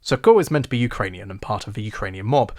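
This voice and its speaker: A man's voice: fairly low pitch, quick pace. English RP man, wry video essay. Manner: wry, sarcastic, animated delivery. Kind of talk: scripted video essay narration.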